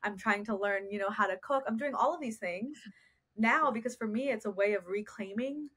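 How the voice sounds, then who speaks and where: Woman, therapist guest, earnest, reflective, mid-pitched voice. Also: normal speed, a bit bright, breathy edge. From United States. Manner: earnest, reflective, asks rhetorical questions. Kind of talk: podcast interview.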